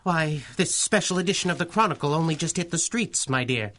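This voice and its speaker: An actor playing a polite, fussy older scientist with a hesitant, avuncular manner in a radio drama. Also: male voice, a little high, expressive, a little fast, a bit bright. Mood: courteous, flustered. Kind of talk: 1930s-style radio drama acting.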